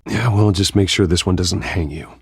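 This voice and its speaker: Stern young billionaire, low-pitched, gravelly fry, terse, skeptical, video game drama. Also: male voice, normal speed, no echo, studio quality, exaggerated voice. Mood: wary, displeased.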